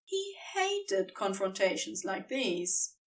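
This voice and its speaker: Lady narrating a story, slow and really animated, sounds a bit away from the mic. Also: mid-range voice, distant mic.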